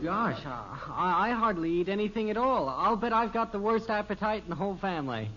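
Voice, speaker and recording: Wheedling American teenage son, bright forceful tone, boyish, eager, old-time radio sitcom, faint noise. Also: male voice, high voice, big pitch swings, fairly quick, exaggerated voice. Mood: hesitant. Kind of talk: 1950s radio sitcom acting. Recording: dull, noisy old radio recording.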